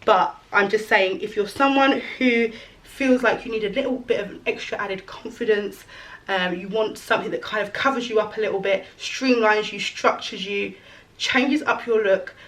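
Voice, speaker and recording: A woman in her thirties, chatting casually about her clothes as a fashion vlogger, rambling and correcting herself as she goes, her voice going a little hoarse. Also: mid-range voice, normal speed, fairly bright tone, distant mic, poor audio. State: losing her voice. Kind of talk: casual fashion try-on chat.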